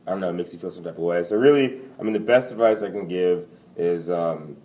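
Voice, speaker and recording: Young guy with a real low baritone, rambles w lots of "you know" and um, mic sits kinda far off. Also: quick pace, mellow. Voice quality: deep baritone voice. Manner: rambling, many fillers and "you know", laughs easily. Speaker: lounge owner, guest. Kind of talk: casual podcast interview.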